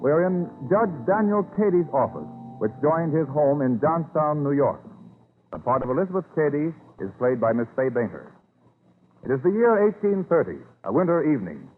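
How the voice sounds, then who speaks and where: American male announcer, fairly high voice, declamatory, scripted 1930s narration, muffled broadcast sound. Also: big pitch swings, moderate pace. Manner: formal, declamatory. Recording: muffled vintage radio recording over music. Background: music.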